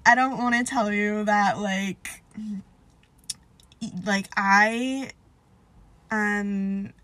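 A young woman chats on her own podcast, nasal and stuffed up from a cold. Her voice is bright and a little sharp, recorded close and dry. She rambles loosely, leaning on "like" and "um" and poking fun at herself. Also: mid-range voice, steady tone, drawn-out words, dry recording. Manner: rambling, filler-heavy, self-deprecating. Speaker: podcast host. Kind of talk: casual solo podcast chat.

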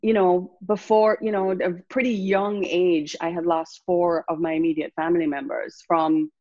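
Low-voiced Jamaican woman in her sixties. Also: steady tone, a little mellow, no room sound, muffled recording. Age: 60s. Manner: reflective, unhurried, many fillers and restarts. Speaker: wellness coach. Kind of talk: interview guest telling her life story.

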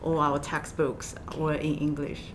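A woman from southwest China with a Chinese accent, answering interview questions in halting, hesitant phrases. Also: flat delivery. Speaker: podcast guest, accountant. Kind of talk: interview conversation. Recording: low rumble and background noise.

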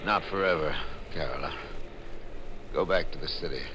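A man's voice: extremely low pitch, normal speed. An actor playing a brooding, secretive man, a criminal lover with a grim, guarded manner, in 1940s radio thriller style. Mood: guarded, regretful. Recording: noisy vintage 1940s radio recording.

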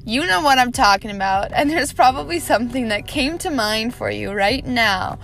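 A woman's voice: fairly high pitch, expressive, moderate pace, gravelly, a bit bright, faint music behind. A woman hosting her own motivational podcast, upbeat and encouraging, laughing often, a little snuffly from a cold. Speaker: podcast host. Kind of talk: motivational solo podcast talk.

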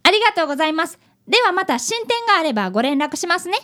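A woman's voice: very high voice, very animated, very fast, a bit bright, exaggerated voice. A woman playing an earnest, polite boy of about eleven, an apprentice detective in a radio drama. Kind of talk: radio drama acting and narration.

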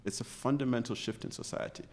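A man's voice: mid-range voice, quick pace, darkish tone. A middle-aged man from Uganda, an executive guest speaking earnestly and passionately in an interview, often restarting his sentences. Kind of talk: conversational interview.

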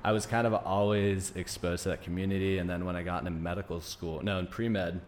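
A man's voice: low-pitched, level tone, a little fast, a little hiss or hum. A man in his thirties from Greece, Denmark and Arizona, talking casually as an interview guest in an informal, rambling storytelling way. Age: 30s. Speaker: podcast guest, naturopathic doctor.

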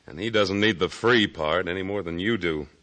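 An actor playing a plain-spoken, firm American rancher and loyal friend in a radio Western. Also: male voice, deep voice, normal speed, a bit bright. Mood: confident, supportive. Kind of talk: 1950s Western radio drama acting and narration.

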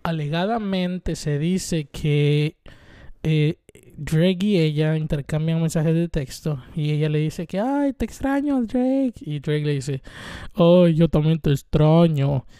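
A man's voice: on the high side, animated, takes it slowly, dark tone, smooth, dry recording, clean studio sound. A man in his twenties from Veracruz with a Mexican Spanish accent, hosting a rambling, irreverent solo show full of fillers and casual swearing. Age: 20s. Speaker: podcast host.

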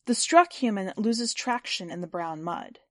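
A woman's voice: medium pitch, lively intonation, unhurried, fairly bright tone, dry recording, studio quality. A woman reading scripted fiction and hosting a story podcast. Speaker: podcast host and story reader.

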